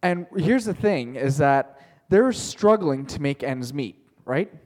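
A man's voice: on the high side, expressive, slow pace, a little mellow, big-room sound. A male teacher telling a playful made-up story to his class, chatty and joking, calling on students by name.

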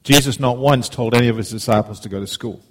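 A man's voice: medium pitch, quick pace. Heartfelt man preaching casually. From Zimbabwe. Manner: heartfelt, conversational, repeats words when starting phrases. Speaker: guest preacher. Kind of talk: informal sermon.

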